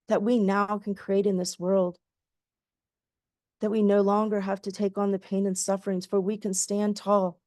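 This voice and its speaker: A woman leads a guided meditation in gentle, lulling phrases. Her voice is warm and mellow at a middle pitch, and she speaks very slowly. The sound is close and dry, with no room in it. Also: dry recording.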